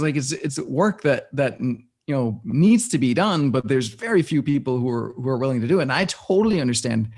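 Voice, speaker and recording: A man's voice: on the high side, a little mellow. A man from Denmark, a guest in a casual interview, thinking aloud with many restarts. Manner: thinks aloud, with frequent restarts and searching for words.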